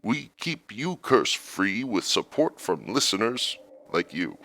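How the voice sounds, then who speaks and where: A man playing a mock-sincere pitchman in a parody radio promo, using a salesman's rhetorical patter. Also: sing-song, slow, heavily acted. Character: mock-sincere male pitchman who casts curses on listeners. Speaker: sponsor message announcer.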